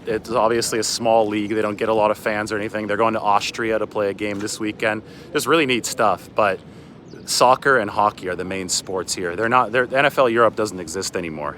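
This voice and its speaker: American guy rambling to his stream outside, lowish voice, bit of street noise behind him. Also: fairly bright tone. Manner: rambling, chatty asides while on the move. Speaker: sports reporter hosting a livestream. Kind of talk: casual livestream chat. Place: outdoors on city streets by a river at dawn. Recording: outdoor city ambience with traffic noise.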